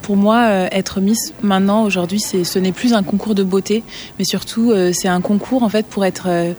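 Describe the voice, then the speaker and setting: A woman's voice: medium pitch, steady tone, normal speed, a little mellow, a little hiss or hum. A woman in her thirties, a beauty pageant candidate, speaking with fillers and hesitations. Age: 30s.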